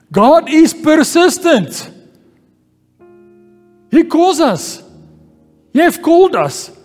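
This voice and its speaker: Very high-voiced slow preaching man. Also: very animated, a little mellow. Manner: call-and-response preaching, repeats key phrases. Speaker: pastor. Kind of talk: sermon with scripture reading. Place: church hall. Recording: long church-hall reverb with faint music.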